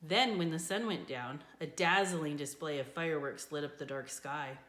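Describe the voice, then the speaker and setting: Echoey, lower-voiced woman reading a storybook. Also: moderate pace. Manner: expressive, with character voices for dialogue. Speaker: reader of a children's book. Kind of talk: scripted storybook narration, read aloud. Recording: echoey room.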